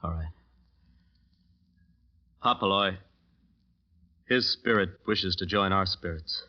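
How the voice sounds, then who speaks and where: A deep-voiced voodoo initiate speaks with grave urgency and menace. It is 1940s radio drama acting, heard on a dull, muffled vintage recording. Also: male voice, slow pace. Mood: grave, ominous. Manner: ominous, urgent. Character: grave male musician, voodoo initiate giving ominous warnings.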